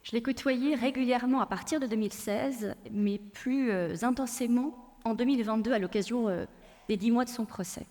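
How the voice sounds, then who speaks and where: A woman lawyer giving a prepared public talk, telling a personal story in a measured way with frequent hesitations. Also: a little high, a little mellow, long reverb tail. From France. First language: Persian. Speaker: lawyer and speaker.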